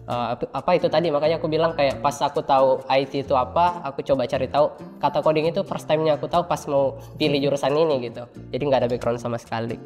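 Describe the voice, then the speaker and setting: A young male student guest answers in a casual, explanatory way, feeling for his words. His voice is mid-pitched. The space is reverberant, with soft music underneath. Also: steady tone, racing. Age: 20s. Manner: informal, explanatory, hesitant phrasing with frequent agreement. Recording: reverberant room with faint background music. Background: faint music.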